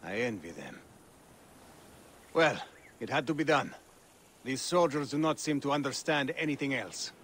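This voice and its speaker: An actor playing a grieving, weary Egyptian husband and official in a dramatic game cutscene. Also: male voice, mid-range voice, animated, normal speed, long pauses, a bit bright, a little hiss or hum, natural voice. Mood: weary, grave.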